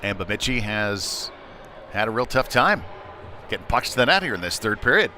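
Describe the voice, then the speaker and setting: A man's voice: lowish voice, normal speed. A man doing live play-by-play hockey commentary in clipped running phrases that lift with the action. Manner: clipped running phrases, excited on big plays. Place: indoor ice rink during a game. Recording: clear rink noise behind the voice.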